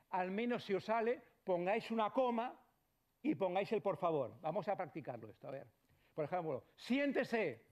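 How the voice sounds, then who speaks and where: A middle-aged man from Barcelona giving a conversational lecture to doctors, often checking in with the audience and letting his voice trail off quietly. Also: high voice. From Barcelona, Spain. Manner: conversational, frequent tag questions, trails off quietly. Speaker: psychologist giving a talk. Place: lecture hall.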